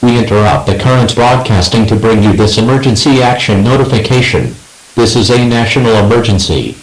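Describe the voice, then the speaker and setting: A man playing an impersonal emergency alert announcer, reading formally and in measured phrases in an outbreak drama. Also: lowish voice, lively intonation, natural voice. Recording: echoey, hissy emergency broadcast.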